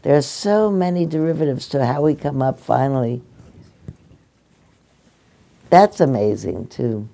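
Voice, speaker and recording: An older woman giving a meditation talk, speaking gently and haltingly as she answers questions from her audience. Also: rumbling low, lively intonation, gravelly. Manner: gentle, halting, restarts often. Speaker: meditation teacher. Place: meditation hall.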